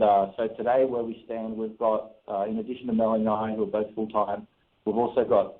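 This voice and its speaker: Australian man on a tinny phone line. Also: lowish voice. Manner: chatty, laughs between phrases. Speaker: guest, real estate investor. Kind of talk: casual radio interview storytelling. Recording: phone-line interview audio.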